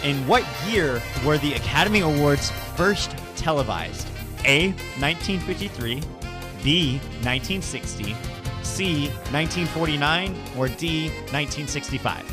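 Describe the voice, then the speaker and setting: A man's voice: a little high, expressive, a little slow, over music. A man hosting a radio show in an informal, conversational style. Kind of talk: casual radio chat.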